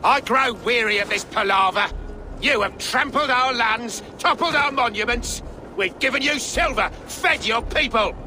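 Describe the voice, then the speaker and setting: A man's voice: very high-pitched, moderate pace, pushed and bright, slight background noise, exaggerated voice. An actor playing a proud, defiant medieval king in a video game, imperious and declamatory, with old-fashioned turns of speech. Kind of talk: theatrical video game acting.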